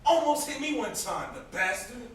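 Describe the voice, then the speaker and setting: Man acting a bitter high-voiced young brother, echoey stage. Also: animated, moderate pace, a bit bright. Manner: sarcastic, confrontational. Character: bitter, sarcastic young brother nursing family grudges. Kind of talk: amateur stage play acting. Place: indoor theatre stage. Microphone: distant camera microphone. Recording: distant echoey stage recording, faint noise.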